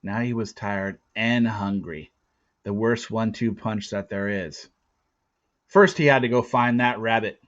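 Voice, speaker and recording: Male storybook narrator: lowish pitch, very slow theatrical delivery, slightly dull lo-fi sound. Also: a bit bright. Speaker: storybook reader. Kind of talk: dramatic read-aloud narration with casual asides.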